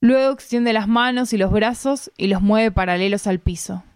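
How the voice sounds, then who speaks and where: A woman from Argentina with an Argentine Spanish accent reads a literary first-person story aloud in measured, deliberate phrasing. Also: medium pitch, clear, resonant tone, dry recording, studio quality. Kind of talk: literary narration, read aloud.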